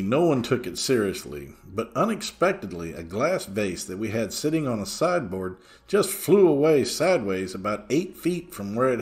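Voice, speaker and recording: Guy reading a true scary story, pitch moves around a lot, medium voice. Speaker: narrator reading a listener's true ghost story. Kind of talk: scripted first-person storytelling narration.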